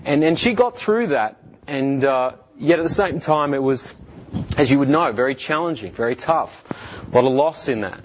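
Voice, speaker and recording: Earnest Australian man with halting delivery. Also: medium pitch, expressive, highs cut off, a little hiss or hum. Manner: earnest, halting with many fillers and restarts. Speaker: guest speaker. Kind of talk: personal testimony talk.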